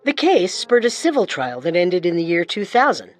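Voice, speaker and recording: A woman's voice: lowish voice, sing-song, normal speed. A woman reading scripted true-crime narration. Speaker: narrator, co-host. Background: music.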